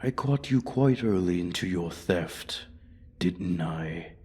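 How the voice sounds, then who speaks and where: A man voicing a menacing, condescending dragon who taunts human prey in a fantasy ASMR roleplay, husky and breathy. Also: low voice, expressive, takes it slowly, darkish tone, full character voice. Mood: smug coaxing.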